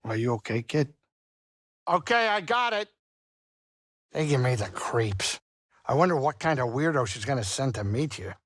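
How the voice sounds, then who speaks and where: A man playing a middle-aged alien pilot and saloon owner in a video game, anxious but kindhearted, with expressive, folksy, wry grumbling. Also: medium pitch, normal speed, pauses often, no echo, studio quality. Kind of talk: video game character acting.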